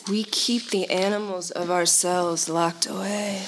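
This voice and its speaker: A woman's voice: lowish voice, steady tone, a little slow, noisy background. A woman voicing a solemn, philosophical teenage boy who ponders aloud in a comedy sketch. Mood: solemn, pondering. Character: brooding, philosophical teenage boy, the band's thinker.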